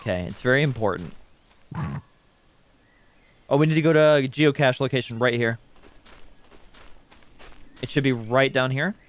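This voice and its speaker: A man's voice: mid-range voice, moderate pace, pauses often. A man streaming a game, chatting casually, joking and swearing, on a slightly overdriven mic. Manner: joking, swearing, playful banter with chat. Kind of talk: casual live gameplay chat.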